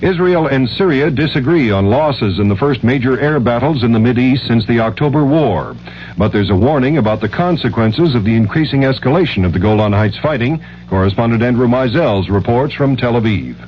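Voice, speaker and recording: A man's voice: medium pitch, animated, a little fast, a little hiss or hum. An American man anchoring scripted network news on a muffled vintage radio broadcast.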